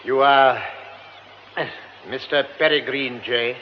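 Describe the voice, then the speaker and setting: An actor playing a courteous, insistent gentleman who speaks formally and stammers as he begs someone to come with him. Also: medium pitch, steady tone, takes it slowly, lightly acted. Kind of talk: radio drama acting. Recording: muffled old radio play with faint hiss.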